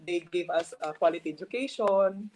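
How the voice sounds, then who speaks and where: A woman's voice: on the low side, normal speed, background noise. Earnest Filipino woman, laughing lightly. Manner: earnest, laughs between phrases. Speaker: guest, new bar passer. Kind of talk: interview answers.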